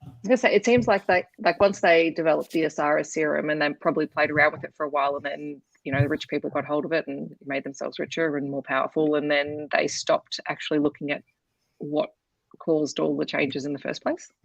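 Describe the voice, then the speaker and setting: Woman w creaky voice thinking out loud, casual book chat, really dry close sound. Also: low voice, fairly even intonation, a little fast, dry recording, lo-fi sound. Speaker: co-host of a book discussion livestream.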